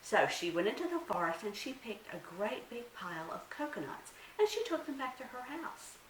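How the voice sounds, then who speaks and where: A woman telling a story to young children as a librarian at storytime, with a dramatic, expressive delivery. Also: on the high side, normal speed. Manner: dramatic, asks listeners questions. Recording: roomy, distant mic, faint hiss.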